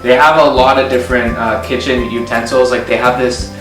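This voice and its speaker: A man's voice: mid-range voice, flat delivery, a little fast. A man talking casually as the co-host of a conversational vlog. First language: English. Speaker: video co-host. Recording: echoey room, distant mic, background music.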